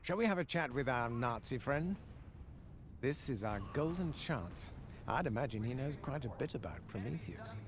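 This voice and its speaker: An actor playing a well-spoken, genial senior military adviser in a war game, giving polite, articulate briefings. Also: male voice, medium pitch, big pitch swings, normal speed, a crowd in the background, natural voice. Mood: brisk. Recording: loud music under the voice.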